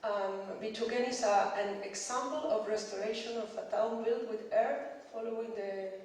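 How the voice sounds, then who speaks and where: A woman's voice: fairly high pitch, fairly even intonation, normal speed, darkish tone. A woman in her thirties with a Chilean Spanish accent, Spanish her first language, giving a conference talk in careful, hesitant English with many fillers and small laughs. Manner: hesitant, many fillers, nervous laughs, checks audience understands. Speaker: conference speaker. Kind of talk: conference presentation. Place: dark conference stage. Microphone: lectern mic from far off. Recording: distant echoey hall recording.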